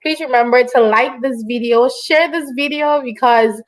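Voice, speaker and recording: A woman's voice: on the high side, moderate pace. A woman hosting a casual online interview in an encouraging, affirming way. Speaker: interview show host.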